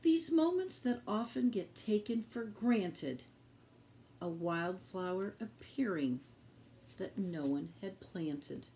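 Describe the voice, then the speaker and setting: A woman reading a picture book aloud to children in an expressive, deliberate teacherly way, speaking directly to her young listeners. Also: mid-range voice, slight background noise.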